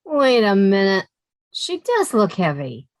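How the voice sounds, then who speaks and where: A woman's voice: medium pitch, wildly swooping pitch, slow pace, no echo. A woman playing a sensible, wisecracking young woman with a dry, sardonic delivery in a radio comedy.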